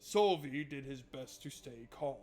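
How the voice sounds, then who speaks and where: A man's voice: medium pitch, expressive, a little slow, darkish tone. A man doing casual, joking playthrough commentary and reading game narration aloud in an informal way. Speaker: game streamer.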